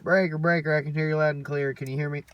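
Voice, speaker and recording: A man's voice: a little high, level tone, fast talker, lo-fi sound. Folksy man over noisy background. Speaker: CB radio hobbyist vlogger. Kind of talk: casual handheld vlog talk. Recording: noisy hiss and radio clicks.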